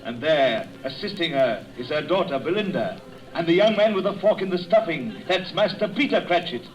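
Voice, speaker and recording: An actor playing a solemn, watchful spirit in a radio drama, speaking in a grave, declamatory storytelling manner. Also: male voice, fairly high pitch, lively intonation, moderate pace, faint music behind, natural voice. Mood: presenting. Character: solemn Ghost of Christmas Present, a watchful guiding spirit. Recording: dull, muffled radio drama recording.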